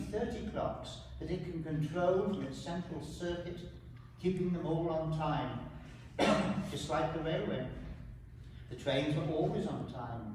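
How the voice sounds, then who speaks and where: A man in his seventies from Wales, reading his own poems in a measured, deliberate way, with quiet asides to a small audience. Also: a little high. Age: 70s. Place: gallery room. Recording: echoey hall, distant mic, background noise.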